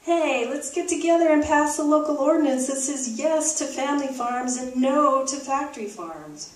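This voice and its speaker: Woman voicing an alarmed, high-pitched small-town protester, roomy recording. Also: moderate pace, close to an everyday voice. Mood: eager rallying. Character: alarmed, indignant small-town community members crying out in protest. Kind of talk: homemade puppet show. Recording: roomy, distant microphone, faint noise.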